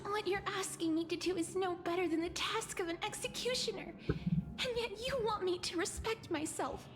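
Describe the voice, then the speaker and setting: A high-voiced, tormented young girl. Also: noisy background. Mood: troubled, protesting. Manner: slow, halting, pleading. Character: tormented young girl who dreads dealing death. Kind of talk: dramatic video game voice acting.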